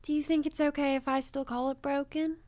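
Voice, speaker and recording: A woman's voice: high voice, level tone, fairly quick, darkish tone, dry recording, lightly acted. A woman playing an earnest, naive and eager small-town woman in an improvised radio drama. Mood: earnest, hopeful.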